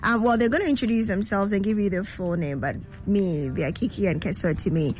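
A woman's voice: medium pitch, brisk, a little mellow, faint music behind, poor audio. A woman hosting a live radio show from her studio, chatty and bubbly, laughing often as she interviews guests.

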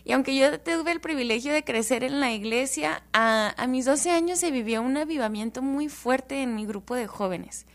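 High-voiced woman from El Paso, animated. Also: normal speed, few pauses. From El Paso, Texas. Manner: animated, joking, warm testimony. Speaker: guest.

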